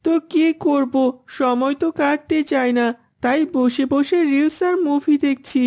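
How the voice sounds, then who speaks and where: High-pitched, earnest little cartoon boy voiced by a woman. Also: fairly even intonation, measured pace, vocal fry, a little mellow. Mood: plaintive. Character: young boy, earnest, meek, obedient son. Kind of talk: Islamic children's cartoon dubbing.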